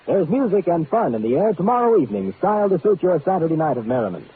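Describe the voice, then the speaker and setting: A man's voice: high voice, big pitch swings, quick pace, slight background noise. An American man working as a network announcer, reading promos and credits in a 1940s radio style. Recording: narrow tinny vintage radio, faint music.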